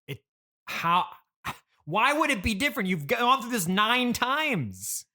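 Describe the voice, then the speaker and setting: A man's voice: very high voice, sing-song, slow, sharp tone. A man hosting an improvised comedy interview as the exasperated straight man, stopping and restarting as he talks. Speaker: podcast host.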